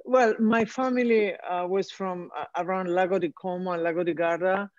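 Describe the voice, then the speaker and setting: A woman's voice: fairly low pitch, fairly even intonation, normal speed, a little mellow, dry recording. A woman from Argentina with an Argentine Spanish accent, a participant on a video call, speaking hesitantly with many fillers. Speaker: course participant. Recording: dull, dry video call audio.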